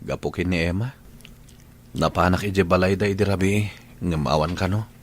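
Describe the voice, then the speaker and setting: A man's voice: sits low, fairly even intonation, moderate pace, a little hiss or hum, natural voice. A man playing a lovesick, stubborn young construction worker in a radio drama, earnest and plaintive. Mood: dejected.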